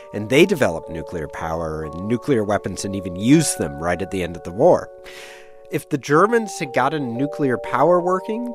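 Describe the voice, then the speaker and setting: Lively male podcast storyteller over music. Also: medium pitch. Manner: informal, explanatory. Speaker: science correspondent.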